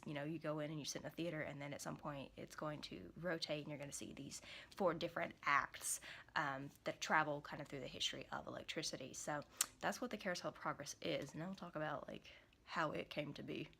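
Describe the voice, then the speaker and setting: A young woman, hoarse from a cold, chatting casually to camera in a small room, rambling with many fillers and restarts. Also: deep voice, fairly bright tone. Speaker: vlogger.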